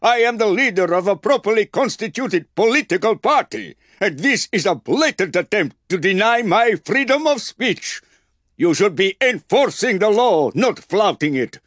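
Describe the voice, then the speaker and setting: Man doing a pompous shrill politician guy, high and swooping, slow outraged protesting, dry sound. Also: expressive, fairly bright tone, dry recording. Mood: indignant. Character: pompous, indignant male political agitator. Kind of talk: audiobook character voice.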